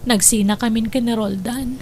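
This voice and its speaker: A woman's voice: fairly high pitch, steady tone, normal speed, mellow, a little hiss or hum, close to an everyday voice. A woman playing a remorseful young wife in an audio drama, with a plaintive, imploring manner. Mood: subdued remorse. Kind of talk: melodramatic audio drama acting.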